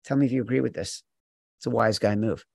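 A man's voice: medium pitch, fast talker, gravelly, a little mellow, dry recording, studio quality. A man hosting a sports betting podcast, talking in an emphatic, teasing, mock-exasperated way. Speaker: podcast host.

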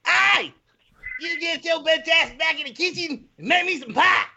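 A man voicing a bossy, bratty cartoon boy who yells demands. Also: extremely high pitch, moderate pace, creaky, highs cut off, pitched above the performer's own voice, heavily acted. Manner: yelling, bossy.

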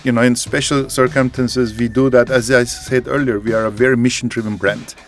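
A man being interviewed as a company executive, answering earnestly in halting phrasing with frequent fillers. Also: mid-range voice, flat delivery, faint music behind.

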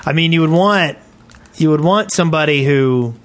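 A man's voice: on the high side, normal speed. A man chatting casually as a podcast co-host. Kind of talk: casual chat.

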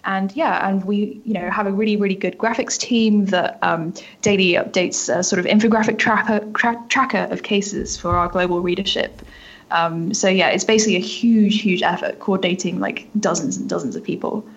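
Female journalist as podcast guest; husky, mid-pitched, chatty and informal delivery. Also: steady tone, moderate pace, dull audio. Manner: informal, frequent "like" and "kind of". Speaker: newspaper reporter being interviewed. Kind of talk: conversational interview answers.

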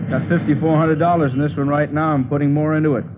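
Man acting as eager American car mechanic, fairly high voice, rapid, boastful, 1950s radio drama, very noisy narrow recording. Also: level tone, lightly acted. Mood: proud. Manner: enthusiastic, boastful. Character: proud, eager male hot-rod builder and mechanic. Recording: noisy old radio broadcast, engine sounds.

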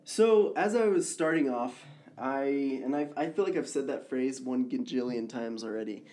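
Slightly hoarse, chatty man. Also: medium pitch, moderate pace, warm tone. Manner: chatty, laughs easily, rambling restarts. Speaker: podcast co-host, newer coder. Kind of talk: casual chat.

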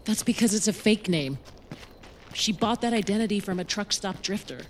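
A woman's voice: mid-range voice, animated, moderate pace, slight background noise, close to an everyday voice. A woman playing an anxious, guarded young woman with an American accent, acting and narrating in an audio drama.

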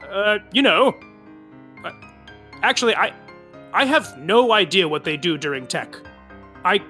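A woman's voice: medium pitch, bright, forceful tone. A woman playing a wry, chatty narrator of a backstage theatre comedy podcast, with mock-dramatic flair.

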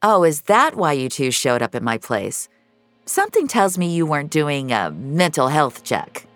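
A woman acts out a tough, sardonic heroine of an audio drama. She can turn halting and socially awkward or dryly defiant. Her pitch moves around in a lively way, in a dry, close recording. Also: no echo, faint music behind.

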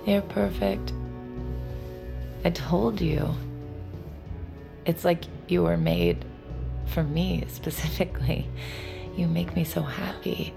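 A woman's voice: lowish voice, lively intonation, slow pace, creaky, pauses often, heavily acted. A woman playing an adoring, vulnerable lover in an audio drama, tender and confiding in an intimate, earnest way. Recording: prominent music under the voice.

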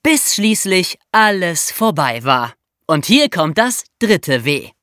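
A woman's voice: lowish voice, sing-song, takes it slowly, over music. A woman co-hosting a podcast, explaining true crime in a colloquial, conversational storytelling way for young listeners. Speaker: podcast co-host.

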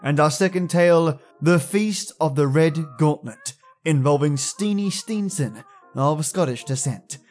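Slow, theatrical male podcast host. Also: on the high side, darkish tone. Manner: playful, dramatic, deliberate. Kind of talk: theatrical scripted introduction.

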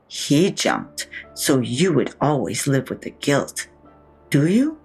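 A woman's voice: a bass voice, big pitch swings, slow pace, creaky, darkish tone, slightly rough, faint music behind, heavily acted. A woman playing a blunt, sardonic older mother in an audio drama, with a frank, dry way of talking. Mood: wry, frank. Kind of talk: naturalistic audio drama acting.

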